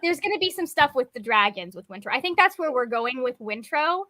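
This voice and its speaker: Bright, high-voiced woman chatting about books. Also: no echo, studio quality. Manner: quick, animated, laughing asides. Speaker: book club co-host. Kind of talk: casual book discussion.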